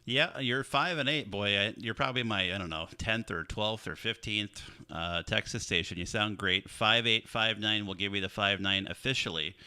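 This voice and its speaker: Crisp, bright-voiced ham radio host. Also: male voice, on the low side, dry recording, studio quality. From Minnesota, US. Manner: friendly, brisk radio operating talk. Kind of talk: live ham radio contacts. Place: home radio shack. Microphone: studio dynamic mic with processing.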